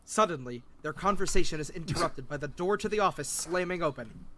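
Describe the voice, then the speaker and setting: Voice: mid-range voice, animated, brisk, crowd noise, lightly acted. A narrator reading a comedic fan dub script as dramatic storytelling. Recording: music behind the voice.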